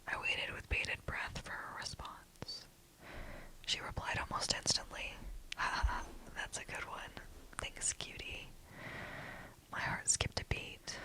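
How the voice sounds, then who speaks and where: A storyteller whispering a first-person story in a soft, hushed voice throughout. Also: rough recording.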